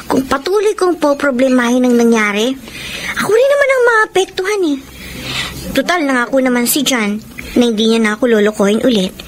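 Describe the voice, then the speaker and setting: High-voiced, heartbroken Filipina girlfriend. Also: very animated, brisk. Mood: troubled. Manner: emotional, melodramatic. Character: hurt, betrayed young girlfriend telling her love story. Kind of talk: Filipino radio drama acting and narration. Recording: music bed under the voice.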